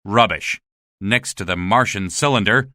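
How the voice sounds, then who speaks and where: A man's voice: fairly low pitch, a little slow, pushed and bright, no room sound, studio quality. A man playing an anxious, earnest survivor in a dramatized story, questioning and incredulous in manner. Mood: guarded, weary. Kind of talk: dramatized audiobook dialogue.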